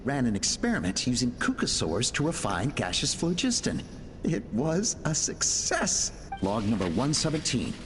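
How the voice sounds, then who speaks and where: Obsessive inventor's journal. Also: male voice, mid-range voice, in a large hall, noisy background. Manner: determined, intense. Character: driven, obsessive male inventor writing logs. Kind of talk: video game voice-over reading journal entries.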